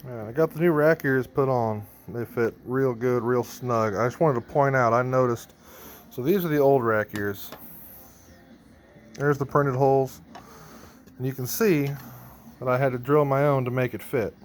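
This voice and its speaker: Male DIY vlogger, medium pitch, off-the-cuff working narration, noticeable ambient noise. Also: moderate pace. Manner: informal, thinking aloud while working. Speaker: band member showing a PA rack rebuild. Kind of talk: casual hands-on vlog narration.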